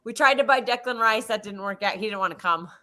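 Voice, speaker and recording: A woman's voice: medium pitch, fast talker, bright, forceful tone. An American woman co-hosting a live football watch-along, chatting casually with frequent restarts. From United States. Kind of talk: casual chat.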